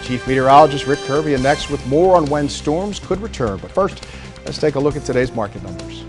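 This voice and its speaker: A man's voice: medium pitch, expressive, fairly quick, over music. An American man reading a scripted local television newscast as the anchor. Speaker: TV news anchor. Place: TV news studio.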